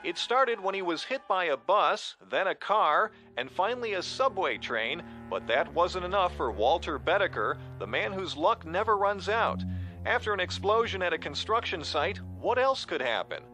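An actor playing a news reporter in a radio drama, narrating in a dramatic style. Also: male voice, high voice, sing-song, normal speed, pushed and bright, soft background music, full character voice. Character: excited television news reporter.

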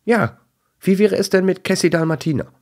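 An excitable little female dachshund from a children's storybook, acted out by a man. The voice is pushed up well above a deep natural register, playful and bossy. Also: a little fast. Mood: eager.

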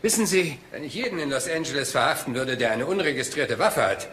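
An actor playing a polite, seemingly scatterbrained police inspector in a crime drama, hesitant, rambling and deferential. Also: male voice, medium pitch, animated, a little fast, a bit bright, slight background noise, natural voice. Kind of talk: German crime drama dialogue.

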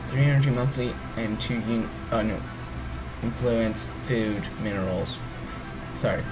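A man's voice: medium pitch, unhurried, music in the background, low-quality recording. A man with a groggy voice walking through a strategy game's menus, hesitant and rambling, full of ums and self-corrections. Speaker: let's play gamer. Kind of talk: casual gameplay walkthrough.

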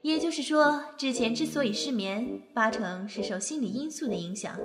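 A woman's voice: on the high side, expressive, moderate pace. A woman giving scripted narration for an audio drama. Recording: echoey with long reverb, music behind.

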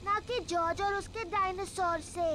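A woman's voice: very high voice, fairly even intonation, moderate pace. A woman voicing a bossy, giggly little girl piglet in a children's cartoon dub. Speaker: Peppa. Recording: cartoon soundtrack with music.

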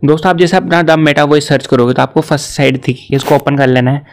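A man's voice: on the high side, quick pace. A young man presenting a tech tutorial as an online video host. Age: young adult. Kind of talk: tech tutorial to camera and screen.

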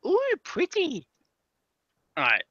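A British man joins a livestream as co-host, joking around and talking back to the chat. His pitch swings widely and his voice is bright and forceful. It comes through a dull, lo-fi microphone. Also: extremely slow, a little hiss or hum. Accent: British English. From Britain. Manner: animated, joking, talks to the chat. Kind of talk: casual chat.

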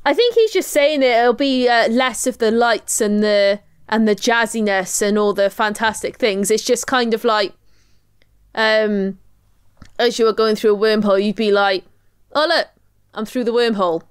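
A woman's voice: a little high, normal speed, clear, resonant tone, no room sound, studio quality. A young woman with a Welsh accent chatting casually as a podcast co-host. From Wales. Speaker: podcast co-host and astrophysics researcher. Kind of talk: casual chat.

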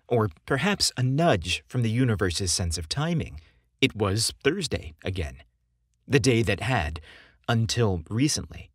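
A man narrating romantic fiction from a script in a measured, expressive storytelling manner. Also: medium pitch, husky, dry recording, clean studio sound.

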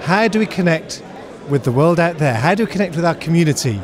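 A man's voice: on the high side, expressive, quick pace. A middle-aged man answering an interviewer emphatically, with rhetorical questions, in a large church hall. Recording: crowd chatter behind the voice.

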